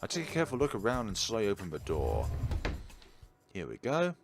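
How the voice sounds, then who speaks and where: Male gaming host, low voice, reads story text, acts out dialogue, playthrough commentary, game music behind. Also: huge pitch swings, a little fast.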